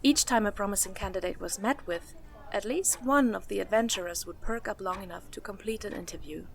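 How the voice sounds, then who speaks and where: A woman's voice: medium pitch, a little fast, creaky, natural voice. A woman narrating a fantasy audio drama as a scripted storyteller.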